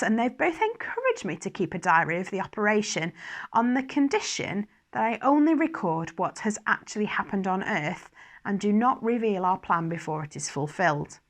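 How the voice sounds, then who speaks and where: A fretful archangel bureaucrat , voiced by a woman, formal and wry, confiding in a diary. The manner is fussy and faintly superior, in a dramatised storytelling style. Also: medium pitch. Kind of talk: first-person dramatised diary reading.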